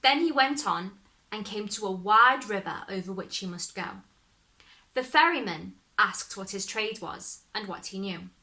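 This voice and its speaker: Woman narrator, fairy-tale reading, slow measured pace, bright forceful tone, dramatic phrasing. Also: mid-range voice, expressive. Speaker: story reader, performer. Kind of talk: expressive scripted storytelling narration.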